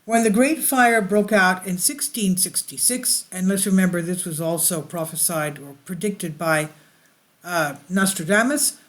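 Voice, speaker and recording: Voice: medium pitch, measured pace, a bit bright. A channel host reading a news article aloud with casual asides, sometimes stumbling over words and correcting them.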